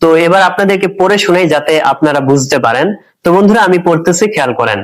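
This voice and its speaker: A man's voice: a little high, expressive, rapid-fire, no echo. A man hosting a tech tutorial, narrating step by step and addressing viewers directly as friends.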